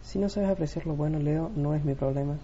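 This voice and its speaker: Woman playing a low-voiced, vain, flirty man. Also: a little fast, warm tone, natural voice. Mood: aggrieved vanity. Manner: affected, self-admiring. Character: vain, flirty, theatrical man obsessed with his looks. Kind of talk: amateur Spanish-language radio play acting. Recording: muffled lo-fi home recording, faint noise.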